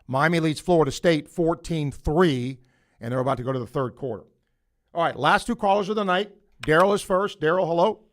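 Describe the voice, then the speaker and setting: A man's voice: fairly high pitch, brisk. A man hosting a late-night call-in sports radio show, talking loosely with fillers and restarts. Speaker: radio sports talk host.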